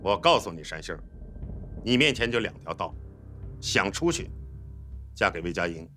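A domineering army commander in a period drama. His deep, bright, forceful voice swings widely as he bargains and bullies. Faint music plays behind him. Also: very animated, moderate pace, full character voice. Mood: angry, threatening. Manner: commanding, haggling, bullying. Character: bossy, scheming, threatening male military regiment commander. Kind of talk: Chinese TV drama acting.

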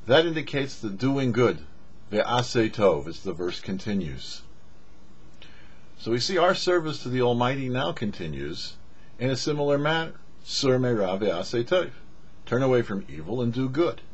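A middle-aged man giving a scripted religious teaching to camera as a rabbi, deliberate and preacherly with emphatic pauses. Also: medium pitch, sing-song, breathy edge. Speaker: rabbi, show host. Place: small indoor studio with cloth backdrop. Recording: dull, muffled lo-fi audio.

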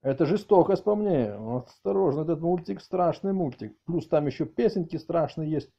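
A middle-aged man with a husky voice doing a mock-outraged comic rant, halting and repeating words as he exclaims. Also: on the high side, lively intonation. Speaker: video channel host. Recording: dull lo-fi audio, faint music behind.

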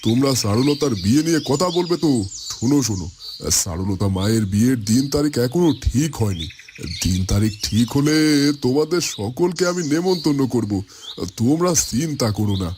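A man's voice: medium pitch, expressive, brisk, a little mellow, slight background noise, close to an everyday voice. A man playing a kindly middle-aged village merchant and father in a cartoon, amiable and folksy. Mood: cheerful, reassuring. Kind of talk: Bengali cartoon dialogue.

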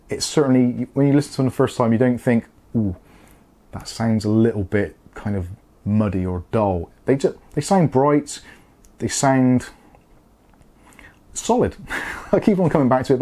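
A man's voice: medium pitch, mellow. A British man in his forties giving a casual, conversational product review to camera, explaining things as he goes. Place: small home studio room, indoors.

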